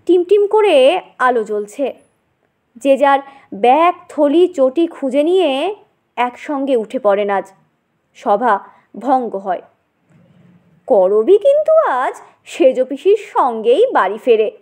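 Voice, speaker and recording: A woman narrating a scripted story in an expressive, theatrical way. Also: high-pitched, takes it slowly, long pauses.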